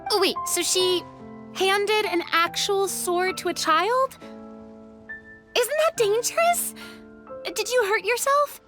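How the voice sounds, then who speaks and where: A woman voicing a bubbly, expressive young woman in an anime-style game, an enthusiastic and dramatic friend. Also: very high voice, slow, long pauses, over music. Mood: shocked, concerned. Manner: expressive, exclamatory.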